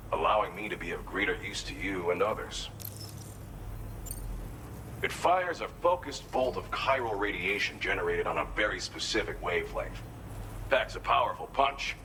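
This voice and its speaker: A man playing an earnest, grateful researcher in a video game cutscene, explaining things in a measured, conscientious way. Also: lowish voice, noisy background, lightly acted. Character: earnest, grateful male researcher and weapons inventor briefing a courier.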